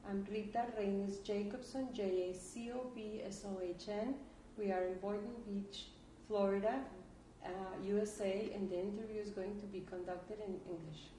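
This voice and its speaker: A woman's voice: medium pitch, steady tone, moderate pace, warm tone, slight background noise, low-quality recording. A woman conducting an oral history interview, formal and careful, spelling out names.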